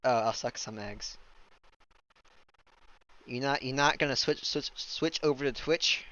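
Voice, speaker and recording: A man's voice: mid-range voice, measured pace, sharp tone, dull audio. A man hosting a casual stream chat, goofy and rambling, laughing often. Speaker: streamer host.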